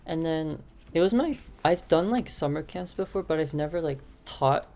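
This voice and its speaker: A woman's voice: low voice, expressive, moderate pace, dark tone. A young woman guest on a podcast, answering hesitantly with lots of "like" and "um". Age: young adult. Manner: hesitant, many fillers like "like" and "um". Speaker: podcast guest, aspiring teacher. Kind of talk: casual interview answers.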